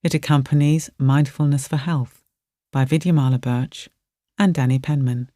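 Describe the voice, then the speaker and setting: A meditation guide narrating a relaxation exercise in a gentle, soothing manner with soft, spacious phrasing. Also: mid-range voice, fairly even intonation, normal speed, no echo, clean studio sound.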